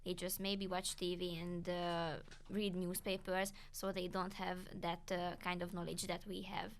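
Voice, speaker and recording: A woman with a flat, gravelly voice reads for a student podcast, pausing on fillers. Faint noise sits under the recording. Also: fairly low pitch. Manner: flat, hesitant, filler pauses. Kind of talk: reading a prepared segment on the environment.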